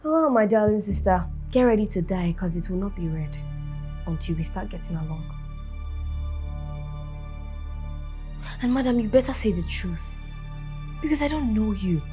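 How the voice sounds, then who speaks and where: An actress playing a defiant, sarcastic young daughter in a film drama. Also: female voice, medium pitch, expressive, fairly quick, music in the background, close to an everyday voice. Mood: cool, sarcastic.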